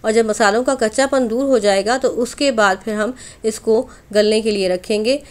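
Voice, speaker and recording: A woman's voice: a little high, normal speed. A woman hosting a cooking channel, narrating a recipe step by step in an instructional voice-over addressed straight to viewers.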